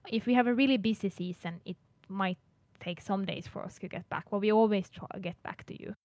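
A woman's voice: mid-range voice, brisk, a little mellow, no room sound. A woman from Finland who works in international services, answering interview questions earnestly with light laughs. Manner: earnest, laughs now and then, restarts words.

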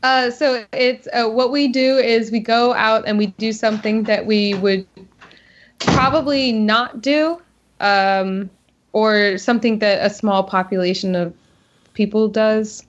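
A woman's voice: on the high side, fairly even intonation, a little slow. Chatty woman from Texas, lo-fi. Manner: easygoing, chatty, hesitant fillers. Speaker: podcast guest. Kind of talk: casual chat. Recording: slightly dull lo-fi sound.

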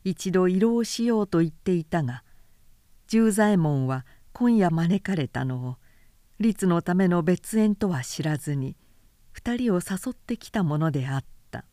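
A woman reading literary fiction as a narrator, with measured delivery and careful enunciation. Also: on the low side, lively intonation, warm tone, no room sound, clean studio sound. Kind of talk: scripted literary audiobook narration.

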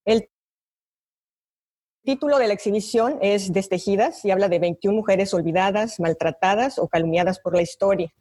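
A woman's voice: mid-range voice, darkish tone. An older woman with a Mexican accent, a guest artist reading her prepared reflections aloud at an online talk. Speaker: invited panelist, visual artist.

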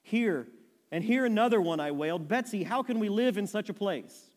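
A man's voice: sits high, lively intonation, normal speed, a little mellow, pitched above the performer's own voice. A man reading aloud the lines of a frightened woman prisoner, in a raised and distressed voice. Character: frightened woman prisoner telling her story. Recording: reverberant hall.

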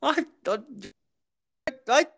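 A man's voice: very slow, a bit bright. A man, a podcast co-host in casual chat, ranting and rambling and breaking into laughter.

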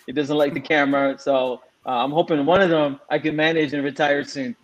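A man's voice: a little high, steady tone, brisk, dull audio. Candid man in his forties. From Singapore. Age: 40s. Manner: candid, frank storytelling with many fillers. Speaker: guest speaker on a panel. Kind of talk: casual interview answer.

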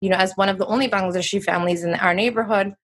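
A woman's voice: medium pitch, steady tone, very fast. A woman in her thirties, a guest speaking in a chatty, reflective way with many fillers. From United States. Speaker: interview guest. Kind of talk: casual interview talk.

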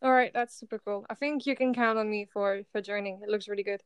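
Upbeat woman host, smooth bright voice. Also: mid-range voice, fairly even intonation, no echo. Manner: enthusiastic, encouraging, halting questions. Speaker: podcast host. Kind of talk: interviewing.

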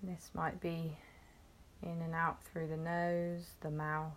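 A woman guiding a meditation, gently instructing in short phrases with long, unhurried pauses. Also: sits low, monotone, a little hiss or hum. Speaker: meditation guide. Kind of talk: guided meditation, soothing instruction.

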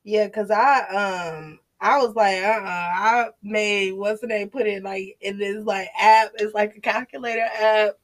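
A woman's voice: medium pitch, steady tone, measured pace, few pauses. A young woman co-hosting a casual podcast chat, hesitant and filling her talk with "like" and "um". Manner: hesitant, many "like" and "um" fillers. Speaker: podcast co-host.

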